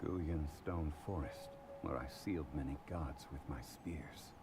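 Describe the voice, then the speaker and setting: An actor playing an ancient, dignified male god in human form who speaks in a formal, measured way. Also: very deep voice, background noise. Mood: solemn. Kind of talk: game cutscene dialogue. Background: game music.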